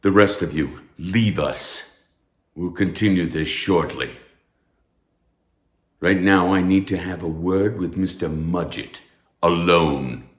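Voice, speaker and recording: An actor playing a stern, pompous anatomy professor, speaking formally and like a lecturer in a theatrical read. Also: male voice, deep voice, slow pace, pauses often, roomy sound. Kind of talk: theatrical table read of a stage musical.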